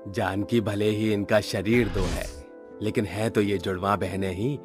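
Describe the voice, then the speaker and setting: A man voicing a gentle, concerned father in a cartoon, with a soothing, reassuring manner. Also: fairly low pitch, steady tone, a little slow, over music, lightly acted.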